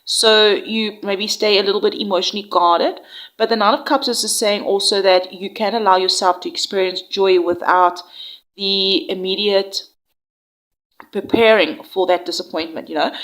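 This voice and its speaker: A woman with a slightly low voice gives a tarot reading. She sounds nasal and congested from a flu. She talks gently and reassuringly, often trailing off and restarting. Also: low-quality recording. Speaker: tarot reader.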